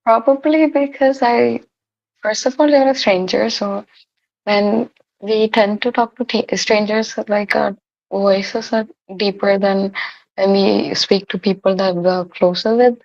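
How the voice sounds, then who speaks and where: A teenage girl with a deep voice and a sore throat, sarcastic and deadpan, who swears casually. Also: unhurried, vocal fry. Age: late teens. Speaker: video chat stranger. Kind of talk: casual chat. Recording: lo-fi video chat audio.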